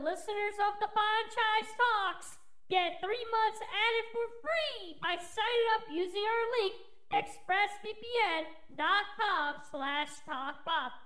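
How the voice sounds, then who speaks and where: A woman with a strikingly high-pitched voice reads scripted podcast promos. Her tone is bright and crisp on the ear, delivered as a virtual MC announcing to listeners. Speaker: virtual MC and ad reader. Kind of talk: scripted podcast ad and show introduction.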